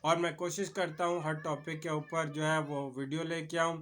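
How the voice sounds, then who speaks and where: A man's voice: fairly high pitch, little pitch movement, moderate pace, a bit bright. A man with a Pakistani accent giving an informal explanatory lecture as a lawyer, addressing the viewer directly and mixing in English legal terms.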